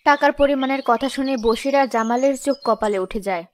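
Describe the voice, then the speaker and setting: A woman's voice: high voice, quick pace. A woman reading scripted narration for a cartoon folk tale. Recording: music behind the voice.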